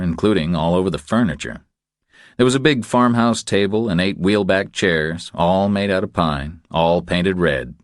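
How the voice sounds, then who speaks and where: A man's voice: deep voice, dry recording, studio quality. A man reading scripted thriller fiction as an audiobook narrator.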